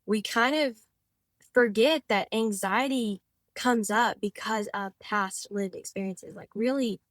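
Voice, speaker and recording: A woman's voice: fairly high pitch, a little slow, no room sound. A woman in her twenties, a podcast guest and author, talking in a chatty, rambling way with lots of "like" fillers and self-corrections. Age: 20s. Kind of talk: casual conversational interview.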